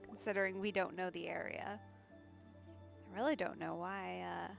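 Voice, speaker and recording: A woman's voice: on the low side, fairly even intonation, unhurried, gravelly, dull audio. A woman giving casual, musing let's play commentary on a game stream. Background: game music.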